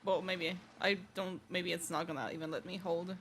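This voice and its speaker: A woman's voice: lowish voice, steady tone, normal speed, fairly bright tone, slight background noise. A woman streaming a game, giving casual live reactions in informal, offhand asides. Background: video game music.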